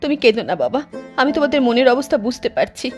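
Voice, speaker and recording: Cartoon voice, woman playing a motherly village woman, high voice, music underneath. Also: expressive, rapid-fire. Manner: motherly, pleading. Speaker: voice actor for mother and young girl. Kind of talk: cartoon voice acting.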